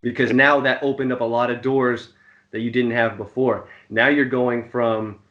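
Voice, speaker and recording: A man's voice: medium pitch, flat delivery, normal speed, highs cut off. A man hosting a podcast interview who reacts often with "wow" and "mm-hmm" and stumbles over repeated words while he looks for the right phrase. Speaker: podcast host.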